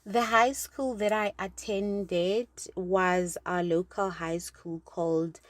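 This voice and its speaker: Young south african woman, low voice, sepedi first language, earnest, reflective, interview answers. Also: fairly even intonation, slow. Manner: earnest, reflective, many fillers. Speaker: internal auditor.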